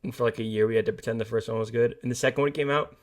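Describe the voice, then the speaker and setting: A man's voice: medium pitch, fairly even intonation, very fast. A man co-hosting a podcast, talking casually in a dry, skeptical and pessimistic way. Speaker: podcast co-host. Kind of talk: casual movie discussion.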